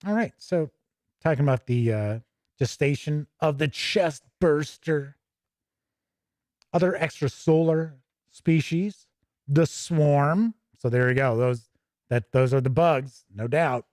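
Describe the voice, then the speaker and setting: A man's voice: on the high side, slow pace, darkish tone, no room sound, clean studio sound. A man hosting a tabletop gaming stream, talking informally and thinking aloud as he goes. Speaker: host of a tabletop gaming news and review show. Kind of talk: casual livestream walk-through of a game book, chatting with viewers.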